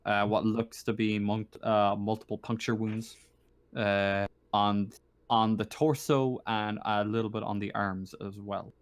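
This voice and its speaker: A man running a tabletop roleplaying game as game master, thinking aloud in a halting way as he improvises. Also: on the low side, level tone, no room sound. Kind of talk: narrating and refereeing a tabletop game.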